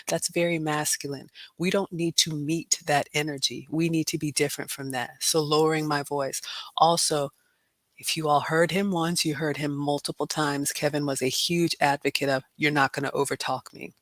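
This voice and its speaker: A middle-aged woman hosts a group talk, reflecting on her own life. Her voice sits a little low for a woman, and she phrases things in a measured, deliberate way. The sound is dry, with little room echo. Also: level tone. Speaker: host.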